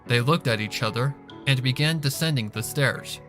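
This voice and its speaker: A man's voice: mid-range voice, moderate pace, a bit bright. A man reading a fan fiction story aloud as scripted narration. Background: music.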